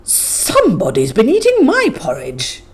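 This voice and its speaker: A woman's voice: huge pitch swings, unhurried, slightly rough, exaggerated voice. A woman voicing a middle-sized storybook bear who cries out in a middle-sized voice. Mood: fretful. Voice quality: crying, middle-pitched voice.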